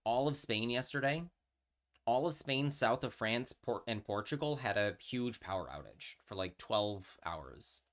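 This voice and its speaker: A man's voice: mid-range voice, animated, unhurried, fairly bright tone. A man, chatting casually on a live stream and telling a story with many fillers and restarts. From New York.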